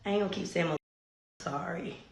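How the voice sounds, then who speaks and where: A hoarse woman with bronchitis, chatting in a sassy way about her dates in a story time vlog and laughing often. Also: on the low side, fast talker, reverberant, noisy background. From Atlanta. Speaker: vlogger.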